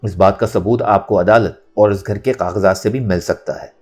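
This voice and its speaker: A man's voice: on the low side, fairly even intonation, moderate pace, a little mellow, soft background music, lightly acted. A man voicing a resolute young woman. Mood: confident, firm. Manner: firm, composed, formal pleading. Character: confident, resolute young woman accusing her grandfather.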